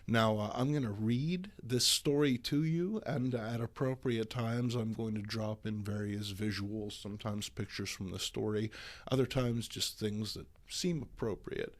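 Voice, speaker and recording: A man's voice: fairly low pitch, measured pace. A man hosting a dramatic comic book reading, speaking in a theatrical, mock-grandiose way. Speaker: host and comic book reader. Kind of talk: theatrical introduction and dramatic storytelling.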